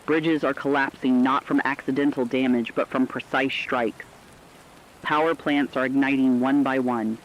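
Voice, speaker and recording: A man playing a somber radio correspondent, reading a scripted disaster report in a serious, deliberate, ominous way. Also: medium pitch, fairly even intonation, lo-fi sound, close to an everyday voice. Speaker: news correspondent.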